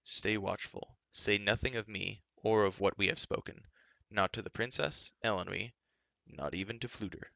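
A man voicing a calm, wise male hero in disguise, low and measured. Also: creaky, no room sound. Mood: secretive.

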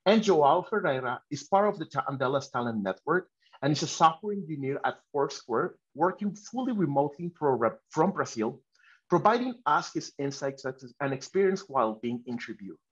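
A man's voice: on the high side, expressive, moderate pace, breathy edge. A man hosting a webinar over a video call, reading a prepared presentation with some hesitant restarts. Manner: reads from a script with hesitant restarts. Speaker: host. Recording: slightly muffled video call audio.

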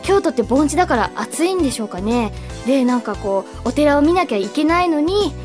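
A voice actress rambling through a casual cast comment in a recording studio. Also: female voice, high-pitched, lively intonation, a little fast, over music. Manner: rambling, many fillers.

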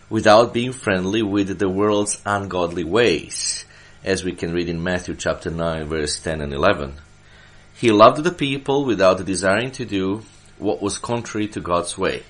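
A man from Serbia, a church elder, delivering Bible teaching from prepared notes in a deliberate way, stressing his phrases. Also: lowish voice.

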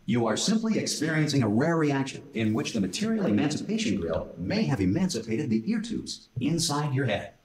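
An actor playing an unflappable corporate facility announcer in a video game, formal, crisp and blandly upbeat. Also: male voice, medium pitch, big pitch swings, fairly quick, hardly any pauses, darkish tone, reverberant, a little hiss or hum. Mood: calmly instructive. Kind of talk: scripted pre-recorded announcement in a video game.

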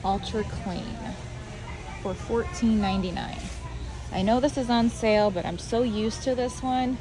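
A woman's voice: a little high, a little slow, over music, poor audio. A woman giving casual, chatty running commentary for a shopping vlog, thinking aloud as she goes. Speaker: family shopping vlogger. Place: large indoor warehouse store. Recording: clear background noise of warehouse store.

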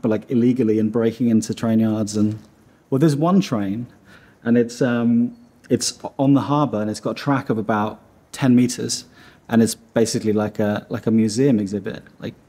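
A man in his thirties, from Iceland, talks through a seated interview in a dim studio room. His voice is warm and mellow with a slightly husky edge. He rambles and restarts, leaning heavily on fillers. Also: mid-range voice. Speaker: animation studio founder.

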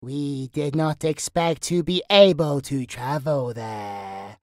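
A woman's voice: a bass voice, takes it slowly, dry recording, studio quality. A woman playing a gleeful, sinister demonic entity in a horror audio roleplay, taunting in a singsong, menacing way. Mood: slow taunting.

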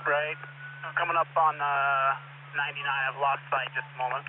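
Male trooper on a car chase, higher-pitched voice, terse, halting, through noisy narrowband police radio. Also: fairly even intonation. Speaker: state trooper in a car pursuit. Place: moving patrol car. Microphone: police radio microphone.

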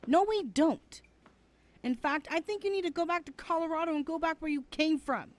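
A woman's voice: very high voice, normal speed. A woman playing a defiant, protective younger sister in an ASMR roleplay, argumentative in manner. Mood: indignant.

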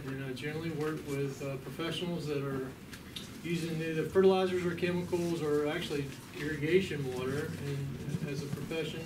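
A middle-aged man leads a small class in a relaxed, folksy way, his voice a touch high for a man. He sounds distant and echoey, picked up by a camera across a hard-walled room. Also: noisy background. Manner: relaxed, folksy, asks the group questions. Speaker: extension agent teaching a class. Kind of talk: hands-on class instruction. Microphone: far camera.

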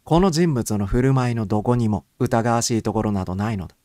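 A male narrator with a medium-pitched voice reads fiction aloud. The delivery is scripted first-person storytelling, recorded very dry with little room sound. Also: a little fast, mellow, no room sound. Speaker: audiobook narrator.

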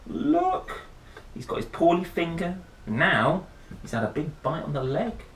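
A man hosts a storytime reading in a playful, chatty way. His pitch moves widely and he takes his time, with dramatic pauses. The recording is roomy, like a small wooden room. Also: huge pitch swings. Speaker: storyteller and host. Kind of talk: picture-book reading with chatty asides. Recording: roomy echo, faint background noise.

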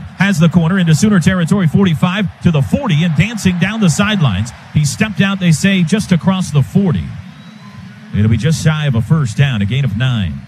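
An American man calling football live on the radio in clipped phrases that follow the action. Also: fairly high pitch. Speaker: radio play-by-play announcer. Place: stadium radio booth. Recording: loud stadium noise behind voice. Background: loud stadium ambience.

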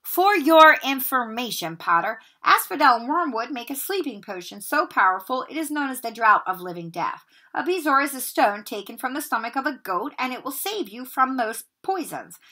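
A woman's voice: high voice, sing-song, moderate pace, hardly any pauses, bright, forceful tone, pitched above the performer's own voice, exaggerated voice. A woman reading aloud and voicing a cold, sneering male teacher who snaps at his pupils. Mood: lecturing. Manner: snapping, sneering, harsh.

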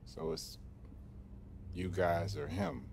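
A young man with a deep voice as a basketball prospect in a game cutscene. He is loyal, casual and a little guarded, talking naturally. There is clear noise behind the voice. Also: sing-song, slow, a little mellow, heavily acted. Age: young adult. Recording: clearly noisy background ambience.

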